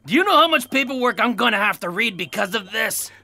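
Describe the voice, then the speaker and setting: A man's voice: high-pitched, lively intonation, fast talker, vocal fry, pushed and bright, exaggerated voice. A man playing a blustering, exasperated boss in a comedic audio drama. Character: blustering, irritable boss of a lab.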